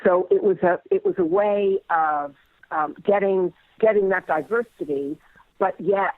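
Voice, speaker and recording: A man's voice: high voice, sing-song, unhurried, vocal fry, no room sound, narrow, tinny audio. A man speaking reflectively as an interviewed playwright, hesitant and repeating words as he searches for phrasing.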